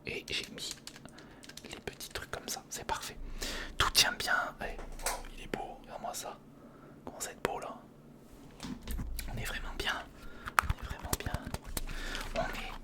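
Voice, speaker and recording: A man's voice: a little slow, long pauses. A man giving whispered ASMR commentary on a hands-on build, murmuring to himself as he thinks and chatting informally to the viewer. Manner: murmurs to himself while thinking through steps; informal, chummy address to the viewer. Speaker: ASMR video host.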